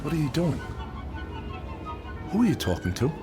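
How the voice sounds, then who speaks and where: A man's voice: on the high side, very animated, normal speed, over music. An actor playing a brusque, skeptical working man in a radio drama, blunt and colloquial. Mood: incredulous. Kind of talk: dramatic radio play acting.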